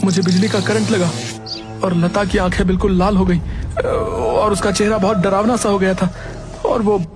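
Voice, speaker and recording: An anxious family man from a ghost cartoon, acted by a man. He sounds agitated and urgent in a very high voice, with music clearly behind. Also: steady tone, moderate pace, close to an everyday voice. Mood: alarmed.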